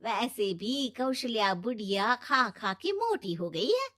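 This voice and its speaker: A woman's voice: a little high, very animated, slow, a bit bright, full character voice. A woman voicing a sneaky, gossipy household maid in a cartoon moral story. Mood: sly, muttering. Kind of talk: animated moral story dialogue.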